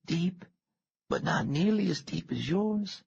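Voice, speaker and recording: A man reading an audiobook, voicing a shaken but wry young woman with a thin, raspy voice and a hesitant, soft-spoken manner. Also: fairly high pitch, animated, slow pace, no echo, highs cut off, pitched above the performer's own voice, heavily acted. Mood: thoughtful. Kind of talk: audiobook character dialogue.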